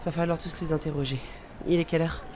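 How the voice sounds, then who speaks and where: A woman's voice: deep voice, steady tone, quick pace, mellow, a little hiss or hum, natural voice. A woman playing a fragile, guarded investigator in an audio drama, speaking tersely and informally. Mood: terse, composed. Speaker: voice actor.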